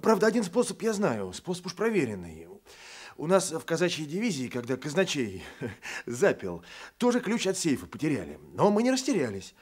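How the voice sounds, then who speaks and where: An actor playing a boastful, sly Cossack constable in a radio play, spinning yarns in rustic colloquial speech. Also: male voice, on the high side, huge pitch swings, moderate pace, slightly rough, full character voice. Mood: sly, jaunty.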